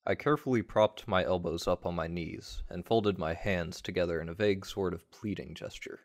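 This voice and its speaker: A man narrating a first-person story in a measured, wry way, like a radio play. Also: low-pitched.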